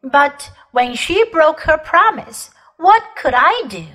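A woman's voice: sits high, very animated, takes it slowly, bright, forceful tone, pitched above the performer's own voice, heavily acted, poor audio. A woman reading aloud, voicing a proud, ruthless ancient queen who declaims grandly and looks down on common people. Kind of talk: audiobook character reading.

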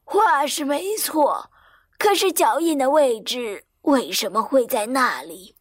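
An actress playing a polite, observant lady in an audio mystery drama, deliberate and quietly probing. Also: high-pitched, expressive, no room sound, studio quality, heavily acted. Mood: curious, polite. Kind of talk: radio drama acting. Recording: music behind the voice.

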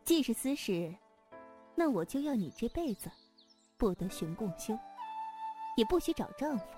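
A woman's voice: fairly high pitch, sing-song, moderate pace, warm tone, faint music behind. Actress as an imperious, lilting female sect leader. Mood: commanding. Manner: haughty, blunt, commanding. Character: imperious, teasing female martial sect leader, proud, secretly tender. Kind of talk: Chinese wuxia audio drama acting.

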